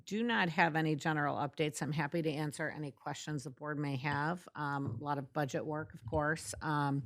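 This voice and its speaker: A woman executive director giving a short report at a board meeting with a loud, projecting voice, close to the microphone. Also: sits low, fairly even intonation, normal speed. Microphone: talks right into the microphone.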